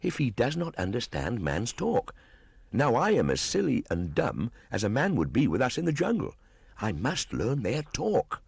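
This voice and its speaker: A man's voice: medium pitch, wildly swooping pitch, normal speed, gravelly, darkish tone, breathy edge, dry recording, studio quality, voice pushed up, heavily acted. A man voicing a proud, curious young boy raised by wolves in a storybook reading. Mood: puzzled, determined. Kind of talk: storybook audiobook acting.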